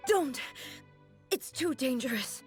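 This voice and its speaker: Game role: regal female warrior ruler, solemn, terse, high-pitched with wide swings, over music. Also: lively intonation, takes it slowly. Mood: strained, subdued. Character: stoic, regal female shogun, weary but proud warrior. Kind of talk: video game voice acting. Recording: game audio with loud music behind.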